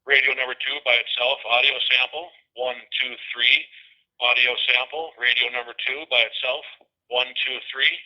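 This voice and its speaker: A man's voice: mid-range voice, flat delivery, normal speed. A man doing an audio test, his voice relayed through the small speaker of a two-way radio. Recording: muffled, harsh walkie-talkie radio transmission.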